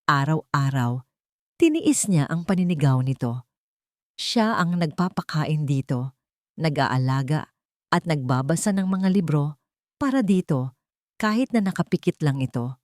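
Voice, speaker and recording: A woman's voice: deep voice, expressive, a little slow, pauses often, darkish tone, no echo, studio quality. A woman with a Filipino accent narrating a scripted love story in a dramatic storytelling style.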